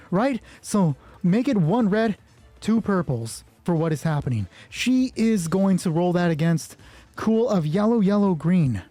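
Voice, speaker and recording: A man with a high, husky voice narrates a solo tabletop game as its game master. He is excited and improvises out loud, working through dice rolls and swearing freely. The tone stays soft and dark rather than sharp. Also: no echo.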